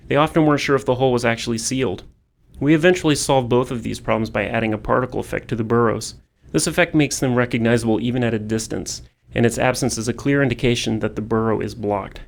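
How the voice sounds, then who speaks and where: A man with a medium-pitched voice full of vocal fry gives scripted commentary. He explains design work as a game developer.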